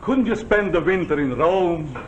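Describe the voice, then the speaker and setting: An actor in a 1940s television drama playing an arrogant, domineering general who speaks in a blunt, pressing, commanding way. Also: male voice, high voice, animated, measured pace. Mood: pressing, seductive. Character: arrogant, domineering wartime general, an insistent seducer. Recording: muffled, noisy 1949 television soundtrack.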